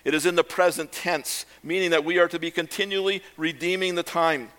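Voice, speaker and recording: An older man, a pastor, giving a measured, reflective sermon with occasional hesitations. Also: fairly high pitch, steady tone, bright, forceful tone.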